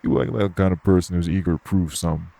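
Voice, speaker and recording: A man playing a gruff, blunt, unbothered club worker with streetwise slangy talk in an audio roleplay. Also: low voice, level tone, fast talker, background noise. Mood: casual, teasing. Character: gruff, blunt, unbothered tough guy working at a nightclub. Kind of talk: audio roleplay acting addressed to the listener.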